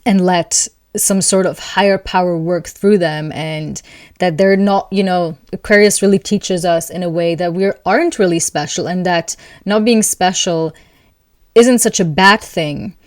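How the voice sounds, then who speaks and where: A woman hosting an astrology video, talking calmly and thoughtfully to camera, with soft laughs between thoughts. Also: fairly low pitch, measured pace, no echo, studio quality. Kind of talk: casual spoken reflection to camera.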